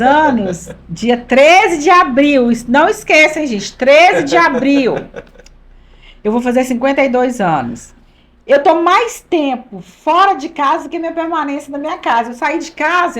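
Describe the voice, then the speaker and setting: A woman in her early fifties, from Minas Gerais, speaks as a guest on a church podcast. Her voice sits a little high. She talks with earnest emphasis, circling back to repeat her key phrases. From Minas Gerais, Brazil. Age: 50s. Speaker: pastor's wife, podcast guest. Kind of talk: conversational testimony.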